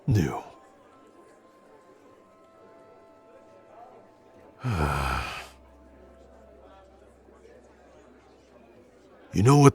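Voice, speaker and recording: A man playing a gruff, awkward young tsundere prince who speaks haltingly and grudgingly in an intimate roleplay audio addressed to the listener. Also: extremely low pitch, full character voice. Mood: awkwardly confessing. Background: background music.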